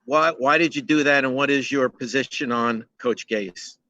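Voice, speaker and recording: A man asks questions as a reporter on a video press call. His mid-range voice is bright and forceful, and the sound is dry and close. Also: mid-range voice, moderate pace, dry recording, highs cut off.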